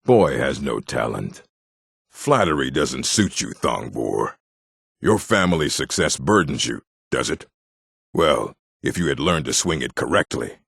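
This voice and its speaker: Very deep, gravelly orc warrior. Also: male voice, animated, a little slow, fairly bright tone, dry recording, clean studio sound, heavily acted. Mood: gruff, matter-of-fact. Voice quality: gravelly, husky. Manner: blunt, stern, clipped phrasing. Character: gruff proud orc warrior and blacksmith, adult male. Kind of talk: fantasy video game character dialogue.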